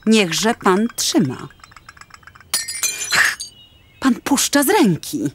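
A woman's voice: medium pitch, very animated, slow, noisy background. An actress playing a polite, fussing society mother and hostess in a radio drama, with a mannered, genteel air. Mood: anxious, fussing.

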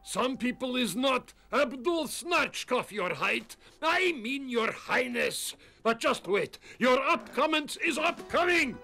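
A man's voice: very high voice, lively intonation, slow pace, creaky, hardly any pauses, bright, forceful tone, faint music behind, full character voice. An actor playing a scheming, bumbling middle-aged henchman in a cartoon, pompous and blustering, with broken grammar. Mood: grumbling, blustering. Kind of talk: comic cartoon villain acting.

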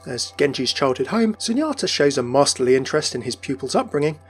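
Casting: male video presenter, quick scripted delivery, mid-pitched, faint music underneath. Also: very animated, breathy edge. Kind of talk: scripted narration for game lore video. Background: background music.

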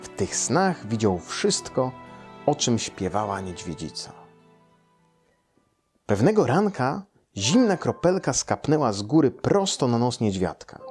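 A man's voice: mid-range voice, darkish tone. A man, a storyteller reading a children's tale aloud in a room. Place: small furnished room, indoors.

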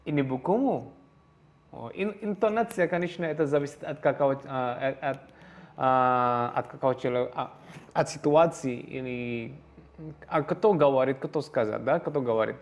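A man's voice: medium pitch, normal speed. A man with an Indonesian accent teaching a language lesson over slides in a second language, hesitant, full of fillers and restarts, and repeating each phrase.

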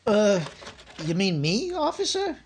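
A man's voice: high voice, wildly swooping pitch, measured pace, a little hiss or hum, full character voice. A man playing a hapless, brash, lovesick young suitor who stammers melodramatically in an old-time radio sitcom. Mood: nervous, hopeful.

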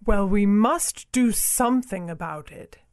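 A man voicing a genteel, self-satisfied female elephant in a storybook, speaking with measured, politely condescending thoughtfulness. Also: high-pitched, darkish tone, smooth, no room sound, clean studio sound, pitched above the performer's own voice. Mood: thoughtful, decided. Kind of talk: storybook character voice.

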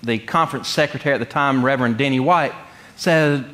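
A middle-aged man from North Carolina, preaching in a conversational, humorous storytelling way with deliberate pauses. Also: medium pitch, fairly bright tone. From North Carolina, United States. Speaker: pastor. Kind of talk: sermon with personal storytelling. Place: church sanctuary, speaking from the pulpit.

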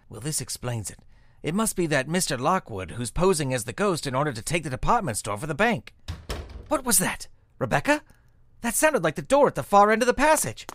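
An animated, earnest young sleuth. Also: male voice, high-pitched. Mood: alarmed. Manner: earnest, emphatic. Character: earnest, plucky young male amateur detective magician. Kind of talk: comic radio mystery acting.

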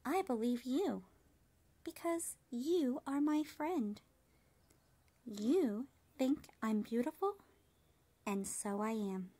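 A woman voicing a wise old female spider in a storybook, kindly, self-assured and gently reassuring. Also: fairly high pitch, lively intonation, takes it slowly, pauses often. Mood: firm, warm. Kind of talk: storybook character reading.

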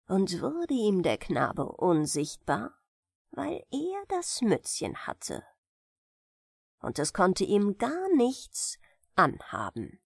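A woman narrating a bedtime fairy tale in an expressive, deliberate storytelling manner. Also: mid-range voice, breathy edge, dry recording, studio quality.

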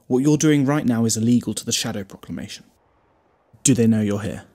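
A man's voice: medium pitch, fairly quick, dark tone, natural voice. A British man playing a glib, protective time-travelling hero in an audio drama, wry and chatty with a scolding streak. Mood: mocking, stern. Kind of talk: fan audio drama acting.

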